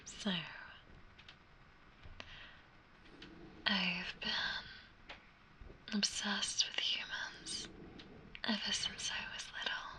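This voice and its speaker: Casting: woman as a young alien girl for ASMR roleplay, breathy whisper, slow and intimate, friendly with a gentle tease, close binaural mic. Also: medium pitch, level tone, lightly acted. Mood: soft, encouraging. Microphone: close binaural 3Dio microphone.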